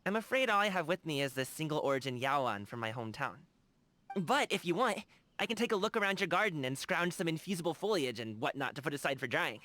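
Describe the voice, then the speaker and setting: A man's voice: on the high side, big pitch swings, fast, bright, forceful tone, a little hiss or hum. An actor playing a cheerful, earnest young man in a video game, chatting in a casual, slangy way. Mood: cheerful, eager. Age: young adult. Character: cheerful, earnest young male lion-dancer and tea lover. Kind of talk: animated video game character dialogue.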